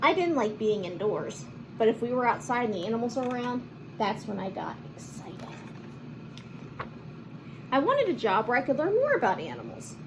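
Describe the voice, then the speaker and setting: A woman reading a picture book aloud to children in an expressive, animated manner, with chatty asides and simple explanations. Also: a little high, normal speed, pauses often, noisy background. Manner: animated, acts out lines and explains words to children. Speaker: children's read-aloud host.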